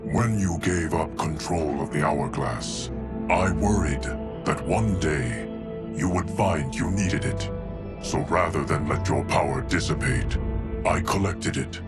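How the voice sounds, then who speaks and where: An actor playing an ancient, stoic male guardian of time who speaks in a measured, solemn way in a video game cutscene. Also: very deep voice. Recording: game audio with music bed.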